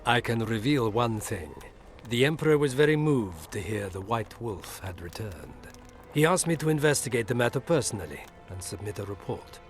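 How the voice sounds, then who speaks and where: An actor playing a suave, middle-aged imperial ambassador in a video game, formal, measured and insinuating. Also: male voice, mid-range voice, lively intonation, lightly acted. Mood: formal, guarded. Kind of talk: video game dialogue acting. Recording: music behind the voice.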